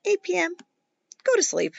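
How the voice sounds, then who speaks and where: A woman reading a children's picture book aloud as a teacher would, in a dramatic, playful way with emphatic pauses. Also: very high-pitched, huge pitch swings, vocal fry, no echo, highs cut off. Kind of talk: expressive children's storybook read-aloud.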